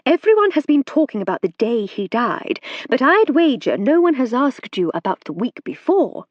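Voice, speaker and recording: A woman voicing a brisk, clever aristocratic lady detective with a refined, theatrical manner. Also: sits high, big pitch swings, no room sound, highs cut off, pitched above the performer's own voice. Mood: urgent.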